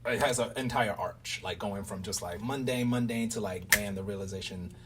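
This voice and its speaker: A man's voice: on the low side, moderate pace, recorded from a distance, noisy background. An American man from Baltimore interviewing in a casual, reflective, rambling way with plenty of slang. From Baltimore, United States. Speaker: interviewer, radio play writer.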